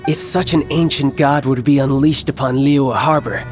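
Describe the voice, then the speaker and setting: Young male game villain, acted: cocky, scheming, measured and formal, mid-pitched, faint music behind. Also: steady tone, natural voice. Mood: scheming, menacing. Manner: measured, smug, theatrical, formal phrasing. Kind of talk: dramatic video game cutscene acting.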